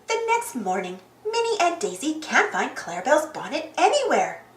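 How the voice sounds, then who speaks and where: A woman giving an expressive read-aloud narration of a storybook for children. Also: high-pitched, moderate pace, echoey room. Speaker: children's storybook channel reader.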